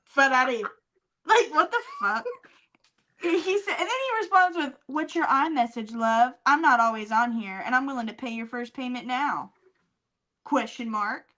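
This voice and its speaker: A woman's voice: high-pitched, lively intonation, moderate pace, sharp tone. A woman co-hosting a casual comedic podcast, reading messages out with wry mockery, swearing casually and laughing often. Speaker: podcast co-host.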